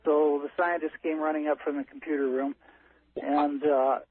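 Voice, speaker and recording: A man phoning a radio show, telling a story in a halting, matter-of-fact, technical way. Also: a little high, flat delivery, slightly rough, no echo. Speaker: caller, ship's captain. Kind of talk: unscripted storytelling on a call-in show. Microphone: telephone. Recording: narrow muffled phone line on radio.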